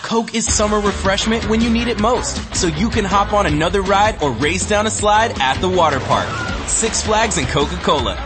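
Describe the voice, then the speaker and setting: A woman's voice: medium pitch, expressive, moderate pace. A woman delivering a scripted commercial voice-over. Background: music.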